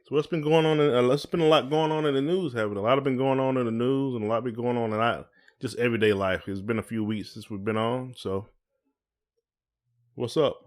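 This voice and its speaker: A man's voice: medium pitch, fairly even intonation, brisk. A man co-hosting a podcast in casual chat, asking questions in informal, hesitant phrasing. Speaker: podcast co-host.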